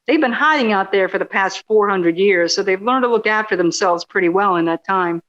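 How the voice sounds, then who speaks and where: A woman's voice: medium pitch, fairly quick, fairly bright tone, muffled recording, natural voice. A woman playing a sarcastic, indignant journalist in a radio play, with a wry way of talking.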